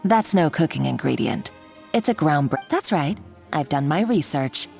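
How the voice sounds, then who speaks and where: Smug, gloating villainess with swooping delivery. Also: female voice, low-pitched, expressive, moderate pace, vocal fry, a little mellow. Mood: gloating, scheming. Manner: theatrical, gloating, sneering. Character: smug, taunting young woman villain, greedy and scornful. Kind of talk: video game voice acting. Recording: game music behind the voice. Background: music.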